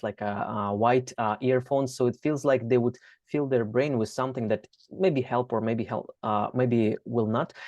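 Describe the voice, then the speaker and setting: A man's voice: medium pitch, darkish tone, dry recording. Man asking rambling questions, dry sound. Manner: loose, rambling questions padded with "like" and "you know". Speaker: interviewer. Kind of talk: casual conversational questions.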